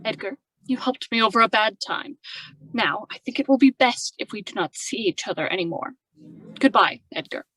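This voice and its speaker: A woman's voice: medium pitch, expressive, normal speed, pushed and bright, husky, dry recording, rough recording. A woman playing an unhappy, emotional young widow in a radio drama. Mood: hurt, cold. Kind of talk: old-time radio drama acting.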